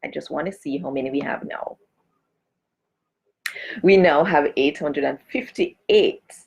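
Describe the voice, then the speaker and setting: Slow, gravelly-voiced woman. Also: low voice, a little mellow. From Jamaica. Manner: chatty, greets and addresses viewers directly, gives blessings. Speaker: cultural advocate hosting a live stream. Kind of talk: casual live-stream chat.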